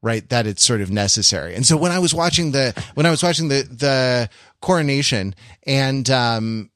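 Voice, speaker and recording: A man co-hosting a casual discussion podcast, speaking in a halting, analytical way and often correcting himself. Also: medium pitch, expressive, a bit bright, no room sound, clean studio sound. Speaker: podcast co-host.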